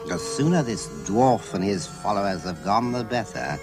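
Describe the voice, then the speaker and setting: A grumbling master of a town, plotting aloud in a radio play. The voice sits fairly low, selfish and anxious, with clear music underneath. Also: male voice, lively intonation, normal speed. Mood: scheming, fretful. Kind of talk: radio drama soliloquy.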